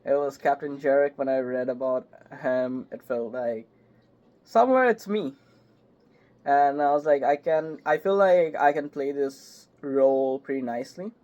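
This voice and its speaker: A young man, a voice actor, chatting casually in an interview, halting and filling with "like" and "yep". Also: mid-range voice, darkish tone. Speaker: voice actor guest. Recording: slightly muffled call audio.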